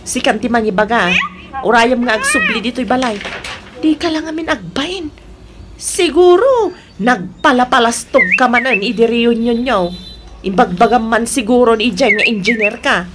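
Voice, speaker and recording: An actress playing a nagging, exasperated wife in a comic radio drama, with a scolding manner. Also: female voice, a little high, expressive, moderate pace, noisy background, natural voice. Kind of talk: comedic radio drama acting.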